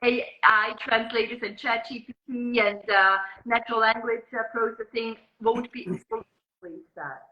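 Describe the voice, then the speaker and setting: A journalist from Switzerland speaks with a Swiss accent, her first language Swiss German. Her voice sits a little high, and she works through her thoughts with many ums and uhs. The sound is roomy and dull, as on a video call. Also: fairly even intonation, normal speed. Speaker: journalist and guest. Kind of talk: interview conversation.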